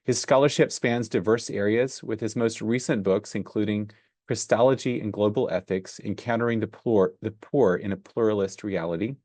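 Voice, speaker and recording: Man, low pitch, dry recording, measured formal introduction, seminar host. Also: darkish tone, no echo. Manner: measured, reads a prepared bio. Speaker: seminar moderator introducing the guest.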